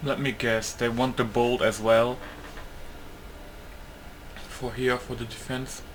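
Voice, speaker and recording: Man, average pitch, casual let's player, low-key short remarks, noisy gameplay behind. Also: flat delivery, slow pace. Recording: gameplay audio with clear noise behind.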